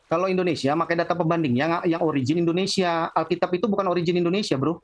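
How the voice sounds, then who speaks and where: A man's voice: on the high side, fairly even intonation, racing, dry recording. A man in an online debate, asking probing, challenging questions.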